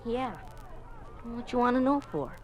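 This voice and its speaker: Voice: mid-range voice, expressive, measured pace, close to an everyday voice. An American performer playing an eager, naive schoolboy with earnest boyish manners in a 1950s radio drama. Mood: casual. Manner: boyish, gee-golly earnestness. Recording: hissy 1950s radio recording.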